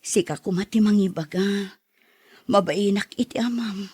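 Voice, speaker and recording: Woman acting husky, timid estranged mother. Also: medium pitch, moderate pace, a little mellow, close to an everyday voice. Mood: ashamed, hesitant. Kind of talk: Ilocano radio drama acting.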